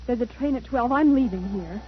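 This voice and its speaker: A woman's voice: a little high, animated, a little fast, close to an everyday voice. A woman playing an anxious, determined daughter in an old-time radio drama, urgent and agitated in delivery. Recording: muffled, noisy old radio recording.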